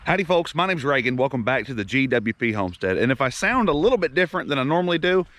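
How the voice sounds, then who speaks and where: A young man with a Kentucky accent, hoarse from a head cold, chatting easily to camera outdoors by a farm pond. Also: medium pitch, very animated, fast, fairly bright tone. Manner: easygoing, rambling, self-deprecating. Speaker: farm vlogger. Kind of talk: casual vlog talk to camera.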